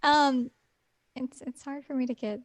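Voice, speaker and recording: A woman's voice: high voice, steady tone, measured pace, no echo. Giggly, rambling woman podcast co-host. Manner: rambling, giggly, with many fillers. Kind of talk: casual podcast chat.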